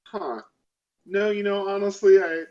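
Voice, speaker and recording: A coolly intimidating female lawyer in a tabletop game, acted out by a man in a slightly high voice. The wording is formal, pointed legalese with a sarcastic edge. The recording is dull and lo-fi. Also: fairly even intonation, measured pace, a little mellow, distant mic.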